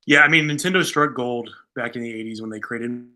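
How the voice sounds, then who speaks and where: A man's voice: medium pitch, brisk, bright, forceful tone. A man in his thirties co-hosting a casual movie discussion, talking emphatically like an opinionated fan. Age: 30s. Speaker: podcast co-host.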